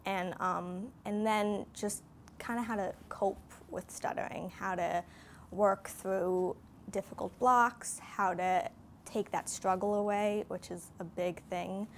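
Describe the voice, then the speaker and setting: A woman's voice: medium pitch, steady tone, slow. Stuttering woman, faint background hiss. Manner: stuttering, with fillers. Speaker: person who stutters. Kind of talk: testimonial interview.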